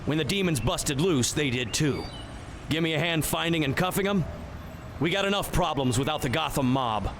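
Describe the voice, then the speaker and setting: A man's voice: fairly high pitch, expressive, moderate pace. A man playing an earnest, practical crime-fighting hero in scripted video game dialogue. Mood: businesslike. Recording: loud music behind.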